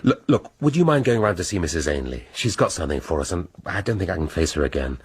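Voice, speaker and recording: Casting: a deep-voiced, husky, dry-witted veteran detective. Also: male voice, big pitch swings, fast talker, heavily acted. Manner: dry, formal, wordy. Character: brusque, cerebral senior male detective. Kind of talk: radio drama acting.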